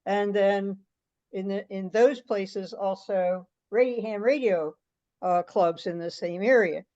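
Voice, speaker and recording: A woman's voice: mid-range voice, slow, dark tone. A woman reading a draft aloud and talking it over in an online meeting, on conference-call audio. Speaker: meeting participant presenting a draft letter. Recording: slightly dull video call audio.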